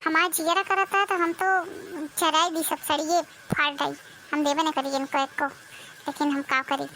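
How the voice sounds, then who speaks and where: A woman's voice: sits high, flat delivery, normal speed, pushed and bright, slight background noise, rough recording. A woman voicing an aggrieved young village daughter-in-law in a comedy cartoon, complaining with a rustic Awadhi accent. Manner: complaining, rustic, addresses viewers directly.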